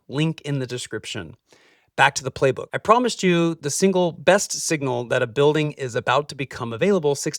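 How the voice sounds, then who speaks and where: A man hosting a scripted explainer, speaking straight to the listener in punchy, emphatic short phrases with deliberate pauses. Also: mid-range voice, a bit bright, no echo, studio quality, far from the mic. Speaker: host, capital-raising adviser.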